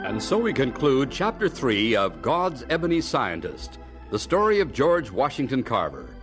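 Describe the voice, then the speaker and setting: A man's voice: mid-range voice, huge pitch swings, moderate pace. American radio announcer man over organ music.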